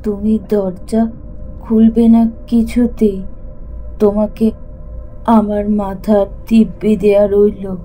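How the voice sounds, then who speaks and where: Woman doing a scared village wife, super slow drawn-out pleading, very dark muffled tone, horror audio play. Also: mid-range voice, level tone, natural voice. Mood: urgent warning. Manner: drawn-out, melodramatic, insistent pleading. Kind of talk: horror audio drama acting. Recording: music under the voice.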